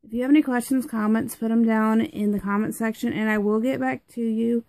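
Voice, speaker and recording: A woman's voice: a little high, steady tone, a little fast, mellow, no room sound, rough recording. A young woman reviewing a product at home, chatty and rambling with lots of fillers, her voice stuffed up from congestion.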